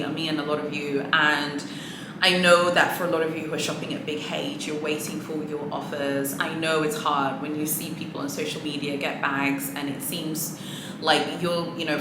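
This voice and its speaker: A woman's voice: deep voice, echoey room, background noise. A woman talking straight to her viewers in a casual, earnest, motivational way, with small laughs and restarts as she gives advice. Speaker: luxury handbag vlogger. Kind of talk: casual to-camera advice talk.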